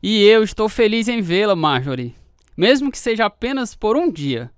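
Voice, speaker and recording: Casting: earnest young Brazilian sailor husband, reassuring, very animated intonation, dry radio drama studio sound. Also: male voice, sits high, moderate pace, clean tone, dry recording. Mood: upbeat, affectionate. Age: young adult. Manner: reassuring, expressive.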